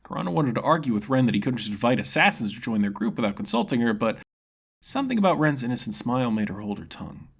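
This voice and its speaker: A man's voice: mid-range voice, big pitch swings, quick pace, slightly rough. A man reading scripted fantasy narration as a storyteller.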